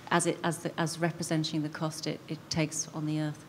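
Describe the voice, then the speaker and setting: A woman's voice: sits low, monotone, brisk, slight background noise. A woman on a debate panel, talking hesitantly with stumbling restarts.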